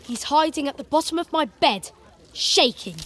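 A woman's voice: high-pitched, animated, moderate pace, pitched above the performer's own voice. A woman voicing an indignant, hot-tempered teenage boy in an audiobook. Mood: sulky. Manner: shouting, furious.

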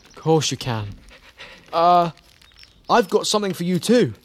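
Woman as a lively, exasperated teenage boy. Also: on the low side, unhurried. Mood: reassuring, casual. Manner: casual, exasperated. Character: teenage schoolboy, casual, exasperated, good-natured.

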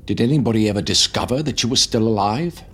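A woman voicing a commanding older male wizard in a storybook, soft-spoken, measured and calm as he questions. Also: extremely low pitch, a little hiss or hum, voice pushed down. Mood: calm, probing.

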